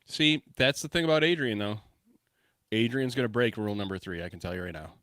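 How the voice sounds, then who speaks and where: A man with a lowish voice, recorded dry and close in a home office, co-hosting a podcast. He jokes, swears freely and tosses out loose, brash banter. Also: fairly quick, fairly bright tone, dry recording. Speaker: podcast co-host. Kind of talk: casual podcast chat.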